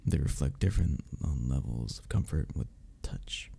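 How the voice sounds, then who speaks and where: A man playing a flirty, friendly club member in an ASMR romance roleplay, teasing and reassuring in a breathy, gravelly voice. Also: extremely low pitch, expressive, moderate pace, dark tone, full character voice. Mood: gentle, inviting. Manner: teasing, reassuring, chuckles between phrases. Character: flirty, friendly male cuddle club member showing a newcomer around. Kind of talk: ASMR romance roleplay, one-sided conversation.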